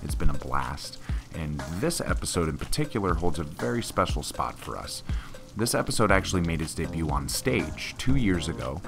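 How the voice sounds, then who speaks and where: Casting: male podcast presenter, exceptionally low register, conversational intro style, music bed underneath. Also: big pitch swings, moderate pace, creaky. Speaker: podcast host. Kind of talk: informal spoken introduction. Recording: music behind the voice.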